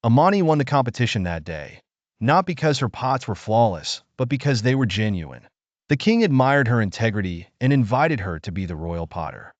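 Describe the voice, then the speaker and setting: A man narrating a scripted folktale in a measured, expressive storytelling manner with deliberate phrasing. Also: medium pitch, no echo, muffled recording.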